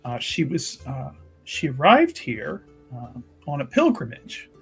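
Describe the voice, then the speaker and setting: A man's voice: medium pitch, huge pitch swings, unhurried, creaky, a bit bright, husky. A man playing a tabletop game, describing his character in hesitant, piecemeal phrases. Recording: music playing behind the voice.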